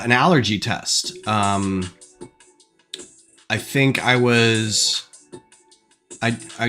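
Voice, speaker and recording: A man's voice: mid-range voice, fairly bright tone. A man with a stuffed-up nose chatting casually on a livestream at his home desk, thinking aloud with lots of ums. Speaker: LEGO designer streaming. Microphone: desk microphone.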